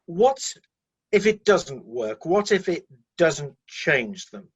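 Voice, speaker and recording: A candid, deferential aide in heaven played by a man speaks in a radio play with a husky voice. The intonation is lively and varied, yet the manner stays hesitant and matter-of-fact, on a slightly dull recording. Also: a little high, slow pace, full character voice. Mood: doubtful, deferential.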